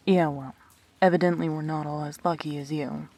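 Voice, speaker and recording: A woman's voice: fairly even intonation, normal speed, warm tone. A woman acting a wry, blunt but protective immortal best friend in an audio drama, with a dry delivery. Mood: wry, teasing. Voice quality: low, husky.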